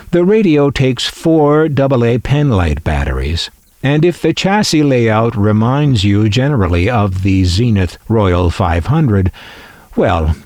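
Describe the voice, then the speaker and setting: A man giving a measured, explanatory narration as a collector presenter, with wry, musing conversational asides. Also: lowish voice, darkish tone, dry recording. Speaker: collector presenting a vintage radio.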